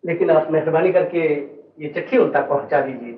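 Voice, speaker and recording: Brief: man as a begging producer, high voice, heard from afar in a roomy, dull old film track. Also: fairly quick, recorded from a distance, lightly acted. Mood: pleading. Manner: pleading, polite insistence. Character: pleading middle-aged male film producer. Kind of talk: 1970s film dialogue.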